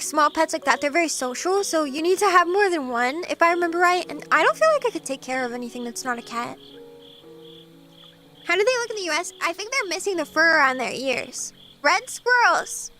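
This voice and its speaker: Bubbly woman streamer from eastern Washington, high and bright, with light music underneath, chatting with her viewers. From eastern Washington, USA. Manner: bubbly and giggly, gasps and laughs often. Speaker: VTuber streamer. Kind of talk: casual chat with viewers, reading comments.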